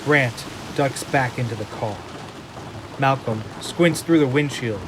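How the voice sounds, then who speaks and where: A man giving a scripted, measured, dramatic narration of action scenes in the present tense. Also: mid-range voice, noisy background.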